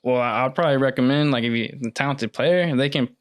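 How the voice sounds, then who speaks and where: A man's voice: mid-range voice, quick pace, clean tone. A man in his twenties, a pro soccer player, chatting casually in an interview with lots of "like" and restarts. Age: 20s. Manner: relaxed, heavy use of "like", restarts.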